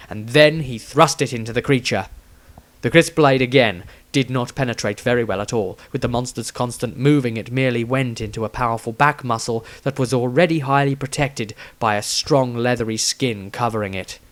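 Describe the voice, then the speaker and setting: A man's voice: mid-range voice, a bit bright. A man reading his own fantasy story aloud as a scripted, dramatic narration with a theatrical delivery.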